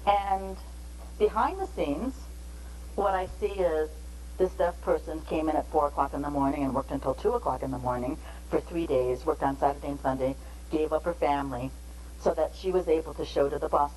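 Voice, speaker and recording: A woman giving a measured, explanatory training lecture on interpreting, pausing between phrases. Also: low-pitched, darkish tone, slight background noise, rough recording.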